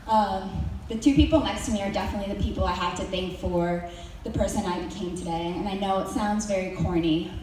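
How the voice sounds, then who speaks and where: Young woman from Mexico, student presenter, lots of ums, wry humor, heard from far off in a booming echoey hall. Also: a little fast, darkish tone, slight background noise. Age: young adult. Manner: hesitant with many ums, wry joking asides. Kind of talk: senior presentation to a school audience.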